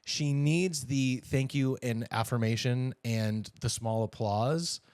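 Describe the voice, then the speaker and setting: A man's voice: mid-range voice, slow pace, a little mellow, no room sound. A man co-hosting a podcast in casual banter, with a playful, teasing manner. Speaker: podcast co-host.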